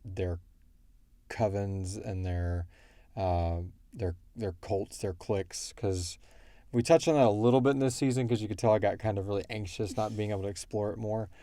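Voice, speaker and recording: A man hosting a casual podcast Q&A, thinking aloud in a halting way. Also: fairly low pitch, steady tone, mellow, dry recording. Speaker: podcast host and show creator. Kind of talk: casual Q&A chat.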